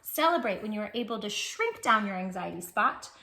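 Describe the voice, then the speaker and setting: A woman host reading a picture book aloud to young children in an expressive, encouraging way, speaking straight to the listener. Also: mid-range voice, a little fast, vocal fry.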